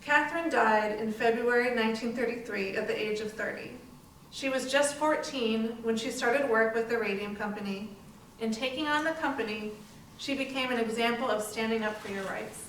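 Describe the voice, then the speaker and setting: A woman's voice: a little high, moderate pace, a bit bright. A woman reading scripted narration in a readers theater performance. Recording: distant mic, echoey room, faint noise.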